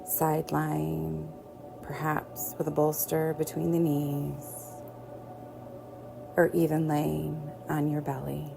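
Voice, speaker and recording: A woman teaching yoga nidra, guiding relaxation in a gentle, soothing way with long pauses. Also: low voice, monotone, creaky. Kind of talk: guided relaxation meditation. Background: soft background music.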